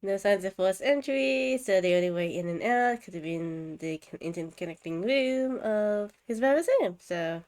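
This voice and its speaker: A woman's voice: medium pitch, animated, normal speed, few pauses, a little mellow. A woman live streamer giving casual game commentary, often hesitating as she thinks aloud.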